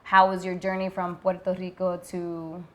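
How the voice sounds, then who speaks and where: A woman's voice: lowish voice, level tone, moderate pace. Chatty, upbeat woman hosting a podcast. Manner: upbeat, chatty, laughs easily. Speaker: podcast host. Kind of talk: casual chat.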